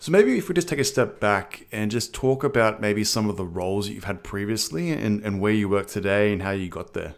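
Male podcast host, lowish voice, measured and partly scripted, steering the interview. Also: gravelly.

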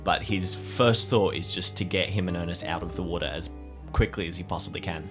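A man improvising narration about his character in an audio drama, halting as he thinks aloud. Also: low-pitched, over music.

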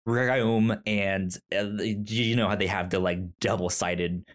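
A man co-hosting a podcast in casual, enthusiastic chat, with a halting delivery and a stutter that repeats syllables and words. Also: lowish voice, steady tone. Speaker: podcast co-host.

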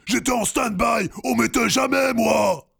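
An actor voicing Hercule, a sentimental, enthusiastic air vent machine in a children's audio drama, gushing loudly in a gravelly, husky voice. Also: medium pitch, a little slow, soft background music, exaggerated voice. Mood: proud, defensive.

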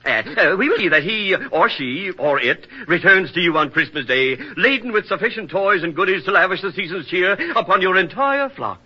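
An actor playing a pompous, anxiously courteous frontier gentleman who talks in flowery, grandiloquent phrases for a radio western. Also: high-pitched, big pitch swings, normal speed, few pauses, breathy edge, full character voice. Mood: wheedling. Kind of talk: old-time radio comic western acting. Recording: dull lo-fi old radio recording.

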